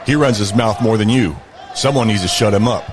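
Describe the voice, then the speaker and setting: Man acting a cocky low-voiced fighter, taunting. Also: a little fast, noisy background, natural voice. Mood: goading. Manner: taunting, brash. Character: cocky, hot-headed young male fighter boss. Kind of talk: audio drama acting.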